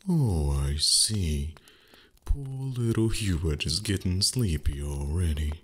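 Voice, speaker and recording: A man voicing a haughty, teasing male dragon in intimate ASMR roleplay, husky and breathy, condescending with flustered pauses. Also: low voice, animated, a bit bright, exaggerated voice. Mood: smug, mocking.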